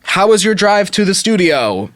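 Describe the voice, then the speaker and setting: Male podcast host, lively swooping delivery, close dry sound, playful and casual. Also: sits high, moderate pace, no echo. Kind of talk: casual comedy podcast banter, ad read and story reading.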